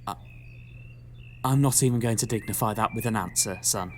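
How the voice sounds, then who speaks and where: A man's voice: lowish voice, normal speed, slight background noise, lightly acted. An actor playing a melodramatic, fretful middle-aged mother in an audio pantomime, theatrical, sighing and motherly. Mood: despairing.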